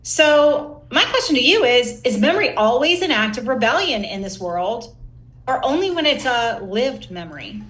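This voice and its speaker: A woman's voice: fairly high pitch, sing-song, moderate pace, fairly bright tone. A woman co-hosting a podcast, asking questions in a conversational interview style. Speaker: podcast co-host. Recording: roomy, echoey sound.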